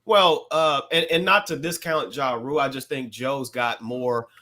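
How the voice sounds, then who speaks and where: American man, medium pitch, podcast panelist, explanatory, slangy casual commentary. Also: steady tone, a little slow, a crowd in the background. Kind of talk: casual hip-hop commentary.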